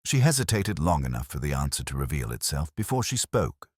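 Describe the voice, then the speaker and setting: A man plays a brooding older detective telling a mystery story in an extremely deep voice. His delivery is measured and literary, with a lively rise and fall, recorded close and dry. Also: slightly rough, no room sound, full character voice. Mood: brooding, reflective. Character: brooding older male police detective narrating his case. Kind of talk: scripted first-person mystery narration.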